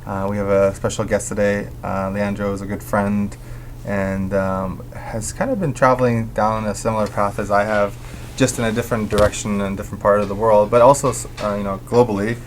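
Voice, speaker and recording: A male interview host with a fairly low voice asks loose, rambling questions packed with fillers. There is faint noise behind him in the recording. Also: little pitch movement, fairly quick, poor audio. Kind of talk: casual interview hosting.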